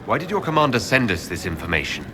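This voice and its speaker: An actor playing a formal, authoritative leader questioning a soldier in a video game. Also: male voice, lowish voice, sing-song, quick pace, crowd noise. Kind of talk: video game dialogue acting.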